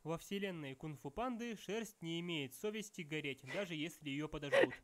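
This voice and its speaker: Male video narrator, slightly high voice, dry sarcastic fault-finding, faint noise behind. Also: animated, normal speed, a bit bright. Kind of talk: scripted nitpicking commentary.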